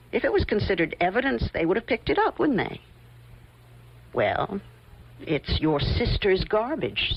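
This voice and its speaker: Low lady sleuth, pointed and confident, very expressive, muffled old radio mystery recording. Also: heavily acted. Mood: coolly explaining. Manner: pointed, insistent, coolly confident. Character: shrewd, probing woman investigator laying out her theory.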